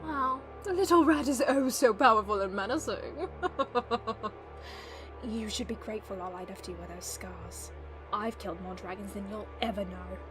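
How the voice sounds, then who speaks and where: A woman voicing a sly, smug female villain in an animated series, with taunting, sarcastic, drawn-out delivery. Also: medium pitch, music in the background, natural voice. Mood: mocking, menacing. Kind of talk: animated web series voice acting.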